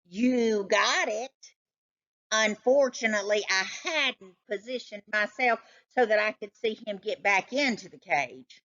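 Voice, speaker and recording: Woman reading as dramatic storybook cat, slow and suspenseful. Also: medium pitch, animated, a bit bright, highs cut off. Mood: dramatic, conspiratorial. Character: Chester, excitable suspicious male house cat recounting his stakeout.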